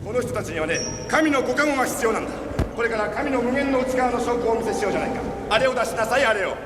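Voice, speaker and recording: High-pitched bombastic preacher in a noisy hall. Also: male voice, animated, rapid-fire. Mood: grandiose, showy. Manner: theatrical, preachy, declamatory. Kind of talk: avant-garde stage play acting. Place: large exhibition pavilion, live stage performance. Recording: noisy echoing hall recording of stage play.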